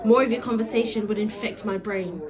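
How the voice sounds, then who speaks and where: A woman's voice: mid-range voice, a little fast, natural voice. A woman playing a scornful tavern keeper, speaking archaic insults in an audio drama. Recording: busy crowd behind the voice.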